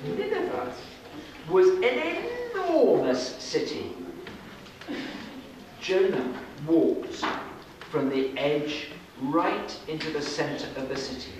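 Middle-aged male storyteller for kids, slow, dramatic delivery, heard from across a very echoey room. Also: on the high side, sing-song. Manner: dramatic, deliberate, with pauses. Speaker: storyteller at a children's puppet show. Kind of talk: scripted storytelling read aloud to children. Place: children's classroom-like room, indoors. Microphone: far camera. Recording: echoey room, distant camera mic, noisy.

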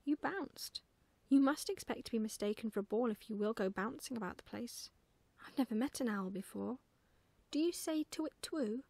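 A woman's voice: on the high side, lively intonation, moderate pace, vocal fry, mellow, no room sound. A woman voicing a proud, bossy little girl in a storybook, explaining things in an emphatic, matter-of-fact way. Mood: blunt.

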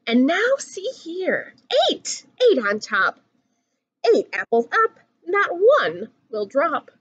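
A young adult woman reading a rhyming picture book aloud to children in an expressive, singsong way. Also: sits high, slow, lo-fi sound. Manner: animated, singsong rhyming delivery. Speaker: children's story reader.

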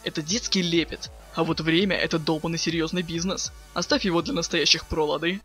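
A man's voice: a little high, fairly even intonation, brisk, fairly bright tone, soft background music. A man playing a cool, impatient, sardonic teenage boy in a dramatic webcomic reading. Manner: flippant, sardonic, slangy.

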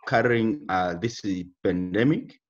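A man's voice: lowish voice, lively intonation, slow, dull audio. A young man from Zambia with a Zambian accent, talking formally and steadily on a video call interview, often repeating his key phrases. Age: young adult. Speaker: interview guest. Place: indoor room with exposed roof beams.

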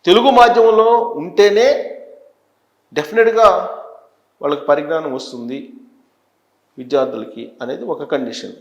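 A man delivers news commentary in a roomy, echoing space. He speaks deliberately and emphatically, repeating phrases to press his point. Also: a little high, gravelly. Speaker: news analyst presenter. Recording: echoey, roomy sound.

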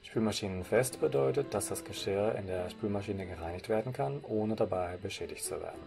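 A man's voice: fairly low pitch, gravelly. A man from Germany presenting, reading scripted narration for a slide presentation in a formal way. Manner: formal, read-out delivery.

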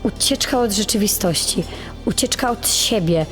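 A woman's voice: mid-range voice, animated, moderate pace, lightly acted. A young actress playing an insecure teenage girl who narrates her inner thoughts as a confession in an audio drama. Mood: dreamy, elated. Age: teens to young adult. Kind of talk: introspective first-person dramatic narration. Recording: music under the voice.

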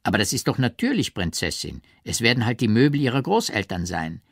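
A man's voice: mid-range voice, very animated, brisk, no echo, studio quality. A man voicing a gallant, plain-spoken old general, courteous and insistent, in an audiobook. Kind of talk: audiobook character reading.

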